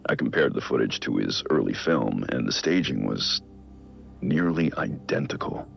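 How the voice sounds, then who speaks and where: Brooding middle-aged American crimefighter for game audio logs; introspective, gravelly delivery, slightly muffled sound over soft music. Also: male voice, animated, normal speed, exaggerated voice. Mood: somber, troubled. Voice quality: gravelly, low. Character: brooding middle-aged male masked vigilante dictating case logs. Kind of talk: scripted audio-log monologue.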